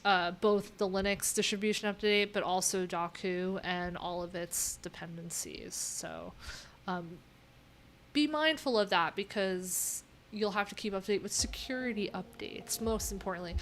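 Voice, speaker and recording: A woman's voice: mid-range voice, moderate pace, a bit bright, a little hiss or hum. A woman live streaming, chatting informally and thinking aloud in a rambling, unfiltered way. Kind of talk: casual live tech stream commentary.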